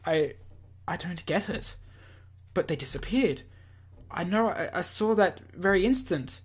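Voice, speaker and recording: A let's play host talks through a visual novel at a middling pitch. The delivery is rambling and jokey, with repeated words and stumbles between bits of read-aloud text. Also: animated, measured pace, slightly rough. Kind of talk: gaming commentary with read-aloud dialogue.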